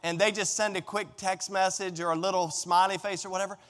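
A man's voice: high-pitched, level tone, brisk, a bit bright. A middle-aged American man preaching a sermon in a conversational, emphatic way, putting rhetorical questions to his listeners. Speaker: pastor. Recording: echoey hall with long reverb.

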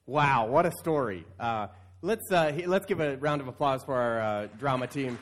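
A man's voice: medium pitch, normal speed. A man preaching as a pastor to his congregation, retelling scripture in a conversational, storytelling way and acting out casual paraphrased quotes. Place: church, speaking to an assembled congregation.

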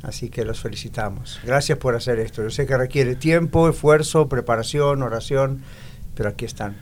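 An older male pastor with an Argentine accent, telling his life story in a relaxed, good-humored way. A faint noise sits under the recording. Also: medium pitch, moderate pace. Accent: Argentine Spanish. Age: 60s. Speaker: pastor, interview guest. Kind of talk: casual interview talk.

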